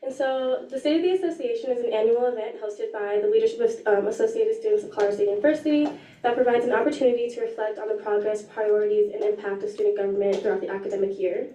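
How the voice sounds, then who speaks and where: A young woman speaks with a slightly raspy voice, explaining an event earnestly and filling pauses with ums. Her tone is mellow and a bit high. She sounds distant from the mic in an echoey room. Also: slight background noise. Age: young adult. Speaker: student government chief of staff. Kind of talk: meeting announcement.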